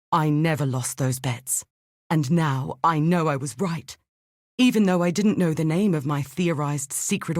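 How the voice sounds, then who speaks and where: An actress playing an earnest, scholarly archaeologist in a video game, speaking in an articulate, formal and measured way. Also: female voice, deep voice, darkish tone, dry recording, studio quality. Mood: vindicated, excited. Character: earnest scholarly female archaeologist, cautious and idealistic. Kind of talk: scripted video game dialogue.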